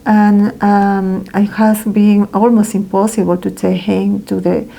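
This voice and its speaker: A woman reading a translated first-person testimony aloud as a voice-over, in a careful, halting way with pauses. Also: mid-range voice, flat delivery, vocal fry, dark tone, poor audio.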